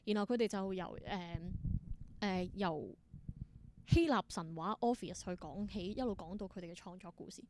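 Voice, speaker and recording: A woman's voice: on the low side, normal speed, darkish tone. A woman with a Hong Kong Cantonese accent telling stories casually as an interview guest, thinking aloud in short, reflective bursts. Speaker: interview guest, independent theatre performer.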